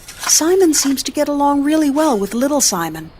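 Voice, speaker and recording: Chatty mom played by a woman, slightly high voice. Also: big pitch swings, normal speed, darkish tone, a little hiss or hum. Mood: sympathetic, probing. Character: chatty, sympathetic neighbourhood mother. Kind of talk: audio drama acting.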